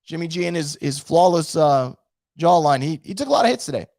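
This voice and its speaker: Hoarse, animated man ranting about football. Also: on the high side, a little fast, dry recording, clean studio sound. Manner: animated, frustrated, swearing. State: losing his voice, feeling sick. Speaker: sports podcast host. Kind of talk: casual live-stream recap reading chat.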